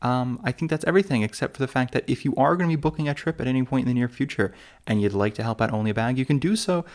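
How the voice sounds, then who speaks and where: A man's voice: medium pitch, very fast, a little mellow. A man co-hosting a travel podcast in casual chat, rambling and joking at his own expense. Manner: rambling, self-mocking, full of fillers and restarts. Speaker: podcast co-host.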